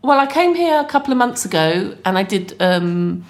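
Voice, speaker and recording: A woman from Britain, a stand-up comedian, chatting fast and laughing easily as a podcast guest. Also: mid-range voice, very animated. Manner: fast-talking, quick laughs. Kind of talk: casual interview chat.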